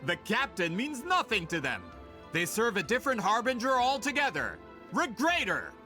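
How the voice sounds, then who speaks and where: A bright, high-voiced schemer from a fantasy video game. He is pompous and boastful, swinging theatrically through his pitch as he sells his greedy plans. Music plays behind him. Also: wildly swooping pitch, normal speed, heavily acted. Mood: gloating menace. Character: scheming, greedy male printing businessman from a fantasy nation. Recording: dramatic music under the voice.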